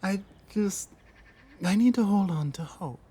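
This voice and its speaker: A woman voicing a lost, guarded female orc warrior in an ASMR roleplay, speaking earnestly and haltingly with pauses. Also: mid-range voice, expressive, mellow, breathy edge. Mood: confessional, hopeful. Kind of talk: ASMR roleplay audio drama.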